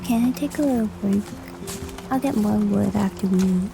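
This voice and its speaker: A woman playing a practical, gently questioning schoolgirl daughter in an audio drama. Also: medium pitch, normal speed, vocal fry, over music, lightly acted, poor audio.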